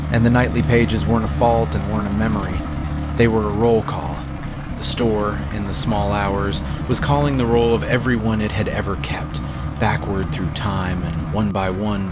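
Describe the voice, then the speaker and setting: A man narrating a scripted first-person horror story in a measured, literary storytelling manner. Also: lowish voice, heavy background noise. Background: music.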